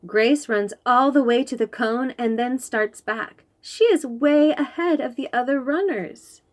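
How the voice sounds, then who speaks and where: A woman reading a children's picture book aloud in an expressive, animated storytelling manner. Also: high voice, unhurried.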